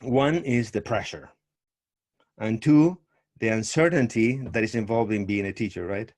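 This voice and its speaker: A middle-aged man from Argentina, a tango teacher speaking over a video call in a measured, thoughtful way with frequent pauses. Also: fairly low pitch, darkish tone. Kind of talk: online summit interview, presenting.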